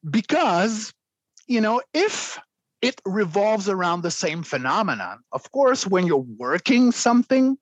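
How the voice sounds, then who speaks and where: A man, a guest vocalist, explaining extreme singing in a conversational interview, clearing his throat often between demonstrations. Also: high voice, sing-song, a little slow, no room sound, low-quality recording.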